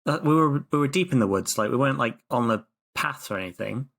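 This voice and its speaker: A man's voice: medium pitch, expressive, quick pace, vocal fry, fairly bright tone. A man playing a tabletop game, rambling and flustered as his character makes excuses. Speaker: player. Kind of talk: casual tabletop play in character.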